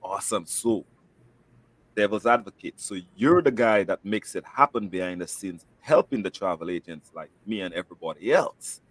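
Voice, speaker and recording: A man's voice: lowish voice, animated, moderate pace, breathy edge, no echo, low-quality recording. A man with a Saint Lucian accent hosting a casual promotional interview, chatty and fond of repeating words for emphasis. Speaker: host, travel agent.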